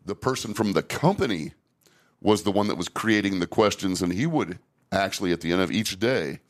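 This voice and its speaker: A middle-aged man with a fairly low voice hosts a relaxed podcast chat. He talks candidly and rambles, often restarting his sentences as he works through his thoughts. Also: fairly quick. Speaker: safety trainer and podcast host. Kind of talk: casual podcast conversation.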